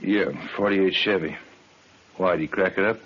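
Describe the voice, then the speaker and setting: A husky, very deep-voiced small-time male hoodlum in a vintage radio drama. He answers in a grudging, offhand way, sounding fed up. The recording is old and dull. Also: steady tone, normal speed. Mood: grudging, casual.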